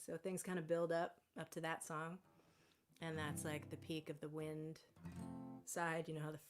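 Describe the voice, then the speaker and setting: A woman's voice: low voice, level tone, normal speed, music in the background. A woman singer-songwriter talking casually between songs on a live stream from her home, rambling and correcting herself, with a slightly scratchy voice. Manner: rambling, self-correcting, chatty.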